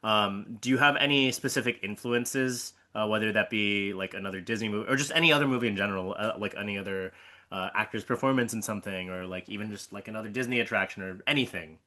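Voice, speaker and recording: A man's voice: lowish voice, quick pace, pushed and bright. A man hosting a casual interview, asking friendly but hesitant questions full of ums and restarts. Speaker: interviewer and project host.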